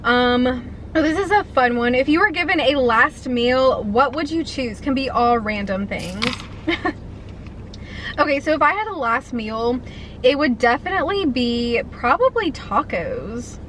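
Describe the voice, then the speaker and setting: A young woman in her twenties with a high, bright-pitched voice chats to the camera while she eats. She talks eagerly and loosely, gushing over food and answering questions. A faint hum of background noise sits behind her. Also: expressive, unhurried. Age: 20s. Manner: chatty, enthusiastic, talks while eating. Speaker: food vlogger. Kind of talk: casual mukbang chat.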